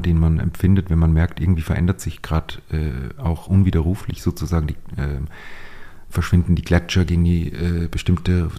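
Man, low-pitched, soft dark tone, hesitant, searching, intellectual, conversational interview guest. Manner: hesitant, searching, intellectual, often rephrasing mid-sentence. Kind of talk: conversational, reflective interview answers.

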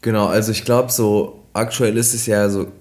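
A man, a nutrition expert co-hosting a podcast, explaining casually with many fillers, slightly hoarse from a cold. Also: lowish voice, steady tone, normal speed, a little mellow.

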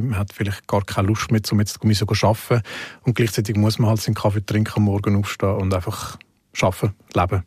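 Low husky Swiss male co-host, Swiss German accent, relaxed chat, dry sound. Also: no echo. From Switzerland. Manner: relaxed, lots of agreeing murmurs. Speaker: music podcast co-host. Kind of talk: casual radio chat.

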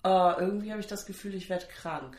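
A woman's voice: medium pitch, brisk, darkish tone, roomy sound. A woman with a stuffy nose from a cold, co-hosting a podcast in a chatty, teasing way and often cutting in. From Germany. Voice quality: nasal. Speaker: podcast co-host. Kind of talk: casual chat.

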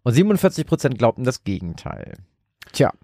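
A man's voice: medium pitch, sing-song, fairly quick, mellow, dry recording. Man, mid-range voice, podcast co-host, casual and colloquial, thinking aloud, very dry room.

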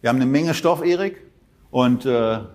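A man with an ordinary mid-range voice co-hosts a finance podcast. He welcomes listeners in a lively, casual way and slips in small jokes as he introduces the guest. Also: medium pitch, normal speed. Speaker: podcast co-host.

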